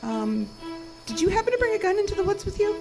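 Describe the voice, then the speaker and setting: An actress playing a spirited, teasing field technician out camping in an audio horror drama, expressive in delivery. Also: female voice, very high voice, quick pace, creaky, over music, full character voice. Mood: uneasy, curious.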